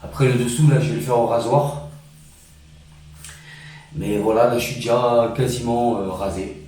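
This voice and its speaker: A man's voice: medium pitch, steady tone, moderate pace, dark tone. A man from France chatting informally and earnestly as a vlogger, recorded in a reverberant room away from the mic. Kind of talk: casual vlog chat. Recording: very echoey room, distant mic, faint noise.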